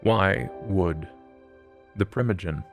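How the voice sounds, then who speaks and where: A man's voice: deep voice, takes it slowly, vocal fry, soft background music. A man playing a tabletop role-playing game, talking through his character's actions and questions in a casual way.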